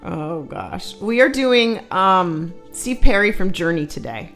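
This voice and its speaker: A woman's voice: on the low side, big pitch swings, takes it slowly, fairly bright tone, faint music behind. A woman co-hosting a live stream, giggling often and reading viewer comments aloud in a casual chat.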